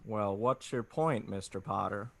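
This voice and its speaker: A man's voice: lowish voice, unhurried, creaky. A man playing an earnest, flustered small-town family man in a 1940s radio drama, stammering in a folksy way. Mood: wary.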